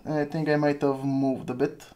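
A chatty man talks through a hands-on gadget review, thinking aloud and chuckling at his own slip-ups. His voice sits a little higher than most men's. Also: flat delivery, normal speed, dark tone, a little hiss or hum. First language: Romanian. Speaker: retro phone reviewer.

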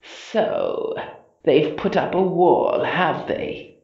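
Storybook monster queen, put on by a narrator: vain, sly and scornful, very slow drawn-out delivery with a gravelly creak. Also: medium pitch, darkish tone, reverberant, lo-fi sound, pitched above the performer's own voice. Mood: boastful, scornful. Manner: boastful, drawn-out, snorting and hissing. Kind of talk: children's storybook character voice.

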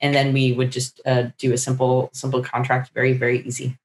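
A middle-aged woman chatting casually as an interview guest, thinking aloud in a rambling, animated way. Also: extremely low pitch, a little fast. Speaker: guest playwright. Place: book-lined room at home, indoors. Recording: roomy, echoey video call.

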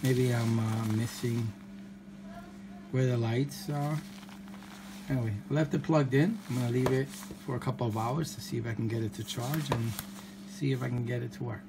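A man's voice: medium pitch, steady tone, moderate pace, long pauses. A man giving casual unboxing commentary as a product reviewer, tentative and thinking aloud. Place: indoors, at a kitchen counter. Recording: clearly noisy home recording.

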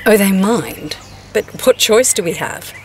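A woman's voice: mid-range voice, animated, unhurried, slight background noise. An actress playing a frank, wry grandmother in an audio drama, plain-spoken. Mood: frank, resigned.

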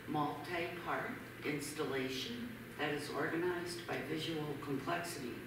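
Woman curator in an echoey gallery hall. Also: deep voice. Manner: hesitant, many fillers, reading a bio. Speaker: curator introducing an artist. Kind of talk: gallery introduction, partly read aloud. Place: large gallery hall, indoors. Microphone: handheld mic heard from far off. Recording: echoey hall recorded from far off, background noise.

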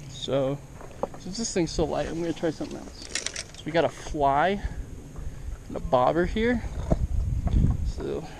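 A young man with a slightly high voice gives lively, gushing commentary while he fishes from a lakeshore outdoors. He is recorded on an action camera strapped to his body, with faint outdoor noise behind him. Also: creaky. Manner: enthusiastic, upbeat, gushing over the catch. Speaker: fishing vlogger and scout troop leader. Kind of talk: casual outdoor fishing commentary. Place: outdoors at a mountain lake shore. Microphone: body-mounted action camera.

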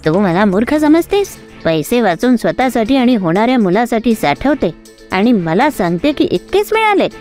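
A woman's voice: a little high, big pitch swings, moderate pace, darkish tone, soft background music. A woman doing a harsh scolding mother-in-law. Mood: accusing. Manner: scolding, suspicious.

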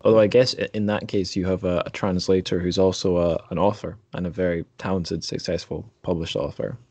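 Male podcast interviewer, low voice, conversational delivery, dry studio-like closeness. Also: level tone, fairly quick, a little mellow, no room sound.

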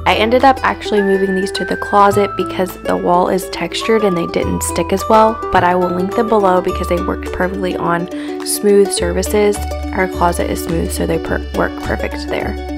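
A young woman narrates in a smooth, steady voice-over with no hurry. Clear background music plays under her. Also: fairly low pitch, moderate pace. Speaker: lifestyle vlogger.

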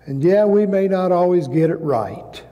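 A man in his sixties preaching a sermon as a pastor, with measured, emphatic phrasing, pauses and repetition. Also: sits high, expressive, lo-fi sound. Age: 60s. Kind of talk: sermon with personal anecdotes. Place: large church sanctuary, indoors at a pulpit. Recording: reverberant church hall.

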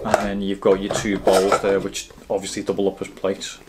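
A man's voice: low-pitched, monotone, moderate pace, very noisy. A man in his thirties or forties giving a casual, matter-of-fact gear review to camera. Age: 30s to 40s.

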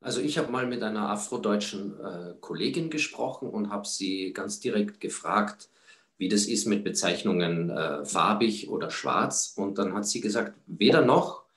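A man's voice: fairly low pitch, expressive, moderate pace, fairly bright tone, roomy sound. A man giving direct, matter-of-fact interview answers over a video call.

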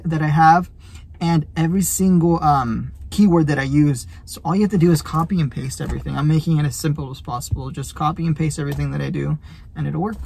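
A man's voice: a little high, vocal fry, darkish tone, slightly rough. A man in his thirties talking casually and explaining things in a vlog, recorded inside a truck cab. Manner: conversational, explanatory. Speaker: junk removal business owner giving tips.

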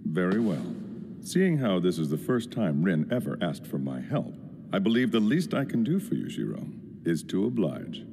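Anime dub priest: low, formal, smugly composed, with expressive swoops, portentous delivery. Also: male voice, creaky, breathy edge, exaggerated voice. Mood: solemn, portentous. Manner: formal, measured, theatrical, portentous. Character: solemn, smugly composed adult male priest overseeing a deadly ritual. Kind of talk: English anime dub acting. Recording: long hall reverb under loud music.

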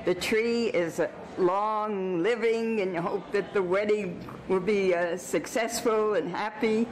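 A woman's voice: medium pitch, steady tone, slow pace. Chatty woman TV host, noisy surroundings. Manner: enthusiastic, chatty. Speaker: TV host, interviewer. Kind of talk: event interview. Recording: noisy outdoor and party ambience.

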